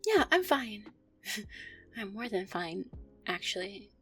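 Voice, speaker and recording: A woman playing a gentle, anxious, affectionate vampire girlfriend in an intimate roleplay audio, speaking softly, tenderly and hesitantly. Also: mid-range voice, big pitch swings, slow pace, husky, faint music behind, full character voice. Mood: relaxed, reassuring. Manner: soft, tender, hesitant, sighing delivery.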